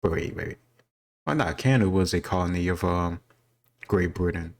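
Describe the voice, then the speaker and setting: A man's voice: sits low, normal speed, gravelly, darkish tone. A man giving casual, rambling commentary as he answers quiz questions, thinking aloud and candid about what he doesn't know. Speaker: quiz-taker sharing his own commentary.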